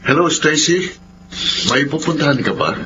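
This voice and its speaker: A man's voice: a little high, animated, moderate pace, close to an everyday voice. A man with a Filipino accent playing a persistent, needling young suitor in a radio drama. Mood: coaxing. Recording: muffled, noisy radio drama audio.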